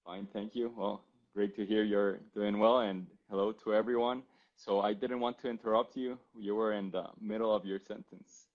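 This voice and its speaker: A man's voice: fairly low pitch, steady tone, normal speed. A man in his thirties with a Costa Rican accent, answering interview questions politely and somewhat formally over a video call. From Costa Rica. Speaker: interview guest, physician. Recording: dull, lo-fi call audio.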